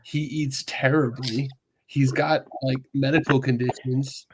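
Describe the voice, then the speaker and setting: A man's voice: medium pitch, level tone, unhurried, slight background noise, poor audio. Easygoing man telling stories. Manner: easygoing, self-deprecating storyteller. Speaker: podcast co-host. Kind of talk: casual chat.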